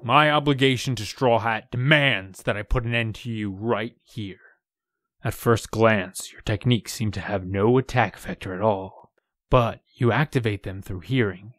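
A man playing a stern, determined, analytical warrior with a deliberate, menacing manner in a fan-made manga drama. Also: on the low side, a bit bright, no echo, clean studio sound, lightly acted. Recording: clearly noisy track.

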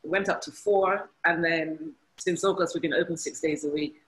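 A Nigerian woman in her fifties, answering interview questions over a video call in a relaxed way. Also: low-pitched, moderate pace, rough recording. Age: 50s. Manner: relaxed, laughs easily. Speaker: guest. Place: indoor room at home. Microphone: video call webcam.

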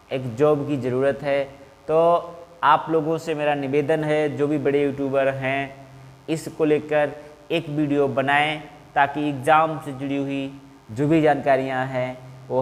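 A man, making a measured, formal appeal to camera as an exam coaching teacher. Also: fairly high pitch. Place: small indoor classroom in front of whiteboard. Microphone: clip-on lapel mic. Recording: reverberant, hard-walled room.

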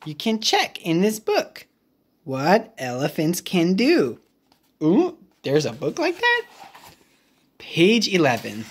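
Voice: mid-range voice, wildly swooping pitch, slow pace, long pauses, slightly rough. An English teacher reading a picture book aloud with playful murmured asides and small interjections. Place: indoors at a wooden table.

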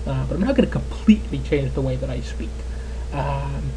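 A man's voice: mid-range voice, lively intonation, fairly quick, dark tone, slight background noise, rough recording. A Canadian man in his twenties giving casual, rambling gameplay commentary as a let's player. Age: 20s. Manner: chatty, rambling, lots of fillers.

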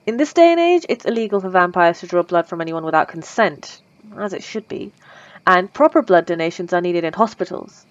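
A courteous but lovestruck female vampire, played by a woman pleads in formal, old-fashioned words, stumbling over nervous stammers. Her voice sits a little low, and the ASMR roleplay recording is close, dry and slightly muffled. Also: animated, brisk, no room sound, natural voice. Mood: earnest.

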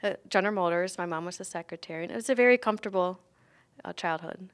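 Middle-aged woman from near Detroit, teacher, clear smooth voice, thoughtful pauses. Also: lowish voice. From Detroit area, United States. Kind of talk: interview answers. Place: classroom indoors.

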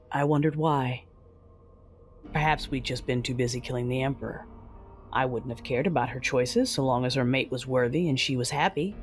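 A woman playing a cold, grieving, vengeful Sith lord, narrating an audio drama in a controlled, menacing, deliberate way. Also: extremely low pitch, pitched below the performer's own voice.

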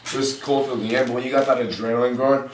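Rambling, excitable young man vlogging. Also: medium pitch, fast talker, roomy sound, recorded from a distance, a little hiss or hum. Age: young adult. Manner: rambling, restarts often, excitable. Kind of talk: casual vlog chat. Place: house indoors, then snowy yard outdoors.

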